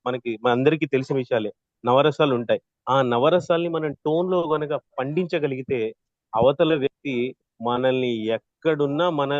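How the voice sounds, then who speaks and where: A man with a fairly high voice leads an interactive lesson. He explains point by point and often checks that the class follows. The sound is dry and close, slightly muffled like an online call. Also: dry recording. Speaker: voice modulation trainer.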